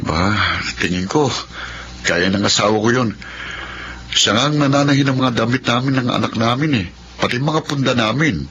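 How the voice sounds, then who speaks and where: An actor playing a supportive, humble, easygoing Filipino husband in a radio drama, speaking politely. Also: male voice, medium pitch, normal speed, a bit bright, natural voice. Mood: hopeful. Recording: dull lo-fi audio, faint noise.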